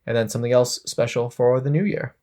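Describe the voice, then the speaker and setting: A man's voice: medium pitch, fairly even intonation, fairly quick, mellow. A man hosting a podcast, chatting casually in an easygoing, upbeat way. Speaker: podcast host. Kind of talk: casual podcast chat and outro.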